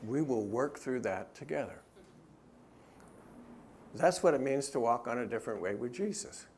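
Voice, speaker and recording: Brief: middle-aged man, author at a church talk, earnest, halting, medium pitch, faint noise behind. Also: expressive, darkish tone. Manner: earnest, often halting with restarts. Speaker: author and speaker. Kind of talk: church talk with questions from the audience.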